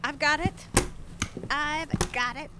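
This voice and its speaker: A woman acting a brisk, teasing mother who runs a boarding house, in an audio drama. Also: sits high, fairly even intonation, heavy background noise.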